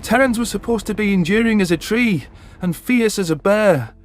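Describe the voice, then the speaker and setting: A man's voice: high-pitched, normal speed. A man narrating a science fiction story in the first person with dramatic delivery. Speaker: audiobook narrator. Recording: narration over background music.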